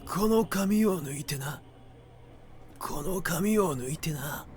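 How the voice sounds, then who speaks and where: A man voicing a wizened old woman in a literary reading, with a thin, croaking, gasping voice and a halting mumble. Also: fairly high pitch, animated, darkish tone, faint music behind, voice pushed up. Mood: gasping, defensive. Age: older. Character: wizened, ragged, muttering old woman stealing corpse hair.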